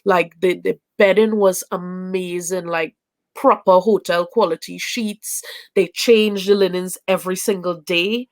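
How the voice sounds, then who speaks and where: A woman's voice: fairly low pitch, steady tone, unhurried. A woman from Trinidad, a young adult and a traveling parent, chats as an interview guest, full of fillers and restarts. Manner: chatty, many fillers and restarts. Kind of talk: casual video chat. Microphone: video call.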